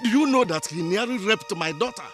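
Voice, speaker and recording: A man's voice: high-pitched, lively intonation, brisk. An actor with a Nigerian accent playing an indignant village father in a film drama, ranting in rhetorical questions with music behind. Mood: outraged. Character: indignant village father spitting threats at a troublemaker.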